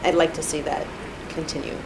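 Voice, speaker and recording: A woman's voice: deep voice, level tone, brisk, vocal fry, very noisy. A woman in her thirties being interviewed at home, speaking hesitantly with many fillers and restarts. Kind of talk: reflective interview. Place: apartment.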